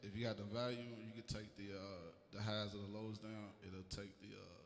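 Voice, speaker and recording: A man with a deep voice hosting a live podcast, chatty and loose, hyping up a small crowd. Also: level tone, normal speed. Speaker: podcast host. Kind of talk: casual live podcast talk. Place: live room with a small audience. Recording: mic feedback in a large reverberant room.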